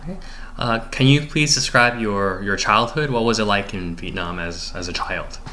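A man's voice: lowish voice, normal speed, sharp tone. A young man, a graduate student, conducting an oral history interview with polite, formal questions.

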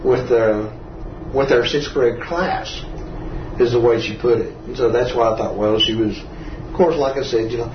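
An older man from Texas, telling a personal story as a veteran in an interview, in a rambling, halting, self-correcting way. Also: mid-range voice. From Texas, United States. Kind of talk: personal oral-history storytelling. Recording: roomy, dull, faintly noisy, distant mic.

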